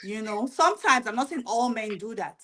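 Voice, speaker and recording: A woman's voice: on the high side, expressive, fairly quick, bright, forceful tone, a little hiss or hum. Earnest woman arguing her view. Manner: earnest, argumentative. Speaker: panelist. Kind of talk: opinionated panel chat.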